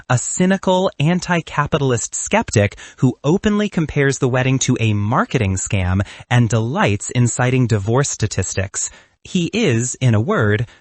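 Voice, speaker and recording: A man reading a scripted first-person story as an audiobook narrator, with an expressive, wry delivery. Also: mid-range voice, dry recording.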